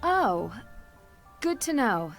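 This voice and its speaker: A young female sleuth in an adventure game. Her voice sits fairly high, and she speaks in a polite, friendly, curious manner. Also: very animated, extremely slow, faint music behind, heavily acted.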